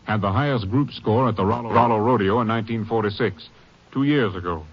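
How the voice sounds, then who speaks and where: An actor playing a businesslike, matter-of-fact Texas Ranger captain in a 1950s radio drama. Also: male voice, on the low side, a little fast, natural voice. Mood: informative. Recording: muffled old radio broadcast.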